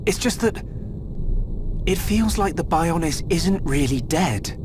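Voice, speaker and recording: An actor playing a thoughtful, hesitant young hero in a video game cutscene. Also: male voice, a little high, animated, takes it slowly, noisy background. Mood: pensive.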